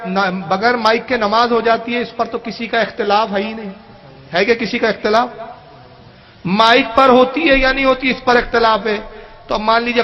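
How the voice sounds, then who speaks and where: Man, high-pitched, emphatic sermon delivery, argumentative, dull low-fidelity recording. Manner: emphatic, argumentative, with rhetorical questions. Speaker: Islamic cleric. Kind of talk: religious question-and-answer sermon. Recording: dull, lo-fi audio.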